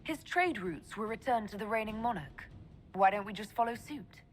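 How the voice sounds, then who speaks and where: Casting: woman as sharp elf mage in command, dry and authoritative, mid-range voice, ambient noise. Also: medium pitch, expressive, normal speed, lightly acted. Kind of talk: scripted video game dialogue.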